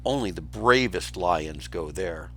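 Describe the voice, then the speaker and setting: Casting: scheming adult male lion for a storybook, read by a man, coaxing with false concern, swooping pitch. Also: expressive, measured pace, full character voice. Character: sly, manipulative adult male lion, villainous uncle. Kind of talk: children's storybook read aloud.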